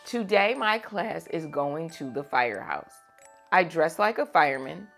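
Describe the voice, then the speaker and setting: A young adult woman hosting a read-aloud for children, teacherly, moving between expressive storybook reading and chat with questions. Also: low-pitched, measured pace, creaky, faint music behind. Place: small furnished room indoors.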